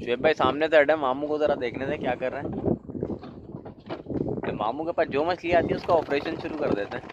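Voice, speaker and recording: A man's voice: a little high, brisk. Chatty man vlogging on a windy boat. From Pakistan. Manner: chatty, pointing things out to viewers. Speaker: vlogger filming a fishing trip. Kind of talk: casual on-camera narration. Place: outdoors on a small fishing boat at sea. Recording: dull lo-fi sound with loud wind and boat noise.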